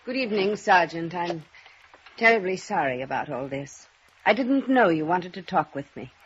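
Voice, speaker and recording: A woman's voice: lowish voice, animated, normal speed, a little hiss or hum, natural voice. A woman acting an anxious, refined, mature lady in a 1950s radio melodrama, speaking hesitantly. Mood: apologetic. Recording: dull, muffled old radio recording.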